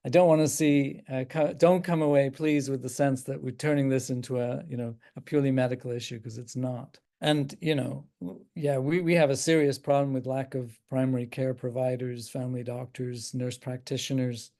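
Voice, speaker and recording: An older man with an Irish accent, a psychiatrist speaking as a guest on a video call, thoughtful and halting with frequent restarts. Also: medium pitch, steady tone, creaky. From Ireland. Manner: thoughtful, halting with many restarts and soft laughs. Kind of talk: casual talk on a video call.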